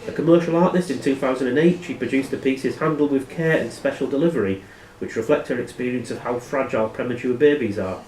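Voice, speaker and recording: Man, quizmaster, mid-pitched voice, matter-of-fact reading, brisk rulings, roomy sound, off-mic. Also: darkish tone, slight background noise. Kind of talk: reading out quiz questions to players. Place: live in a pub. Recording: echoey room, distant microphone.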